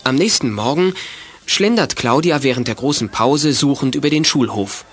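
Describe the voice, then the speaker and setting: A man's voice: medium pitch, lively intonation, moderate pace. Male storyteller over prominent music. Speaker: narrator. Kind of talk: scripted audio drama narration.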